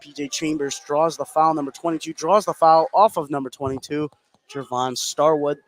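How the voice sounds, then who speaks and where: A man doing live play-by-play radio commentary on high school basketball, mixing game calls with conversational banter. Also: level tone, normal speed, slight background noise.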